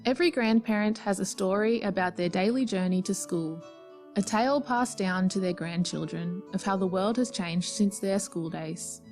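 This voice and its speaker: A woman's voice: mid-range voice, normal speed, a little mellow. A woman giving scripted, nostalgic narration for a radio play. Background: guitar music.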